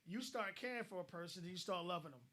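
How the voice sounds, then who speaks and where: Blunt, high-voiced man giving advice. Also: fast talker, sharp tone. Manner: blunt, advice-giving, repeats words as he starts a thought. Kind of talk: casual online discussion.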